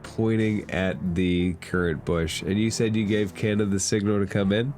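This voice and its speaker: A man's voice: on the low side, steady tone, moderate pace. A man working as game master, narrating a tabletop roleplaying game and prompting the players. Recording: music playing softly behind. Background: faint background music.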